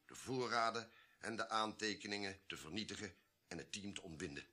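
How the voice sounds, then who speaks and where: Unsentimental government official, radio drama: very low, forceful voice, authoritative and emphatic. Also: male voice, expressive, fairly quick. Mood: grave, confiding. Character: authoritative male government official revealing grim secrets, unsentimental. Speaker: radio play actor. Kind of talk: Dutch radio drama dialogue.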